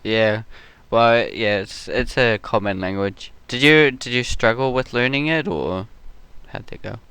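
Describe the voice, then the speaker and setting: A man's voice: medium pitch, a little slow, fairly bright tone, smooth, no echo. A man with an Australian accent hosting a casual interview, laid-back and full of fillers. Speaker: host.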